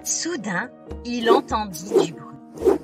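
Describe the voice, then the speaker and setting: A woman narrating fairy tales for small children in an expressive, deliberate scripted style. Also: on the high side. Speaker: children's storyteller. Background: music.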